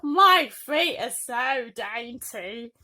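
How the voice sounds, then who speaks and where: A woman voicing a jealous, spoilt young stepsister in a storybook, whining and wailing in drawn-out tantrums. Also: fairly high pitch, lively intonation, pushed and bright, voice pushed up, full character voice. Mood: gloating.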